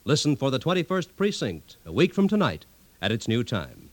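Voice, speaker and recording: Male network announcer, vintage radio style, promotional and formal, animated intonation, very dry. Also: mid-range voice, normal speed, no room sound.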